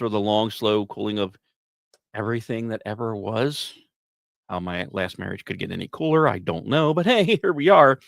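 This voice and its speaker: A man presents science news as a podcast host, reading from a script but slipping into casual, wry asides. His mid-pitched voice swings widely with theatrical emphasis. The sound is dry, with very little room in it. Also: creaky, no room sound. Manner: wry, self-deprecating humor.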